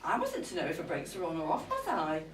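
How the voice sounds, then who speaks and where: A woman's voice: low voice, huge pitch swings, fast. A woman playing an irritable, aggrieved middle-aged wife in a live comic monologue, complaining indignantly. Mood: aggrieved, griping. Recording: roomy live event, distant mic.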